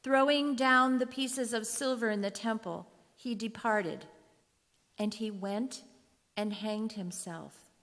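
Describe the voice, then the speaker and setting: A woman narrating scripture from a script for reader's theater, in a measured, solemn manner. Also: on the high side, fairly bright tone. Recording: long hall reverb.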